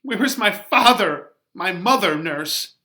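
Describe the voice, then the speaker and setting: A man performing a distraught, passionate teenage bride in a melodramatic, drawn-out Shakespeare reading. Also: high voice, expressive, bright, forceful tone, slight background noise, voice pushed up, exaggerated voice. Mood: hysterical grief.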